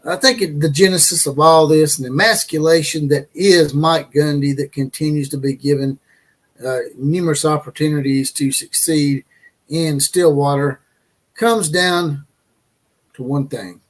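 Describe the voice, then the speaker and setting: A man's voice: on the high side, steady tone, unhurried. A man hosting a college football commentary channel, ranting with crude, mocking opinions and frequent fillers and restarts.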